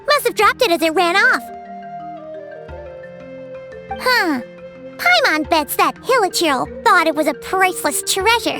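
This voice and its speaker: A woman voicing a tiny, excitable fairy companion who talks about herself in the third person, in video game dialogue. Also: very high voice, full character voice. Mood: curious. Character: tiny floating fairy companion, chirpy, excitable, self-centered. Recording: game soundtrack music behind.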